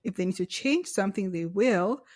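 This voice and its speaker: A woman's voice: medium pitch, animated, moderate pace, a little mellow. A young adult woman from Kenya co-hosting a podcast, musing earnestly and restarting her sentences as she thinks. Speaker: podcast co-host. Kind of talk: casual conversational podcast.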